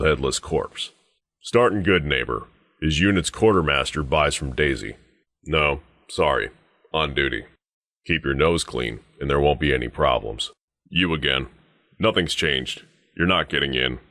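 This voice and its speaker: A man voicing a gruff, menacing and suspicious guard sergeant in a video game, curt, commanding and dryly sardonic. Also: rumbling low, fairly bright tone, no room sound, clean studio sound. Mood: curt, watchful, then stern, threatening. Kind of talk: scripted game dialogue.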